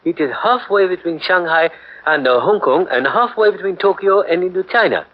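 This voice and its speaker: Brief: a halting, evasive photographer, a bit high and very lively, old muffled radio. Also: male voice. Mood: polite, evasive. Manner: hesitant, halting, many filler sounds. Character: male Japanese photographer, polite, evasive. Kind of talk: 1940s radio acting. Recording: dull old radio broadcast.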